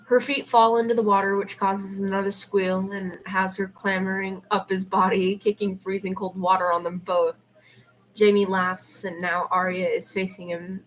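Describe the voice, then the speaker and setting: A woman's voice: mid-range voice, flat delivery, moderate pace. A woman reading fan fiction aloud on an amateur livestream, with casual asides. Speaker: podfic reader and live streamer. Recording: clearly noisy amateur livestream recording.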